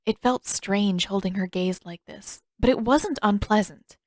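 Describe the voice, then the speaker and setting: A woman's voice: medium pitch, unhurried, darkish tone, no room sound. Woman narrating fiction, very dry sound. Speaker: audiobook narrator.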